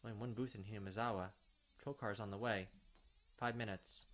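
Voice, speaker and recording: A man hosting a let's play, reading a visual novel's narration aloud in a steady, even way. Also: fairly low pitch, quick pace, a little hiss or hum. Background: game music.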